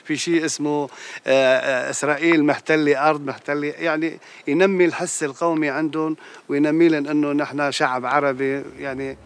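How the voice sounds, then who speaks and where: A man with a Levantine Arabic accent recalling memories casually, halting and repeating himself as he searches for words. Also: fairly high pitch, steady tone, a little hiss or hum. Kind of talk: casual conversational recollection.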